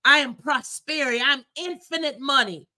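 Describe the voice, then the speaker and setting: A woman's voice: high voice, normal speed, bright, forceful tone. A woman co-hosting a faith talk show, speaking earnestly and declaring affirmations with emphasis.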